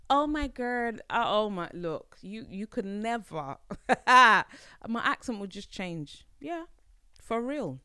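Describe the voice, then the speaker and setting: A woman's voice: a little high, big pitch swings, slow pace, bright, forceful tone, clear, resonant tone, no room sound, studio quality. A young woman hosting a solo podcast, talking casually in a playful, slangy way, often laughing. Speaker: podcast host.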